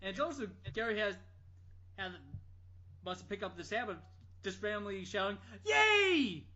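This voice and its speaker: A man with a bright, forceful voice rambles through jokey anecdotes as a podcast storyteller. His pitch jumps around with lots of animation. The audio is a bit dull and lo-fi. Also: sing-song, moderate pace. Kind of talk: casual anecdote telling.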